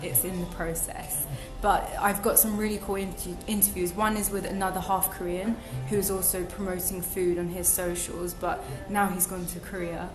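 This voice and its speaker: A young woman talks through her answers in a loose, reflective way, filling gaps with ums and restarting phrases. Her voice rings in a roomy, echoey space. Music plays clearly underneath. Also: on the low side, little pitch movement, moderate pace, few pauses. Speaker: guest. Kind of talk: casual interview chat. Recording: echoey room with music behind.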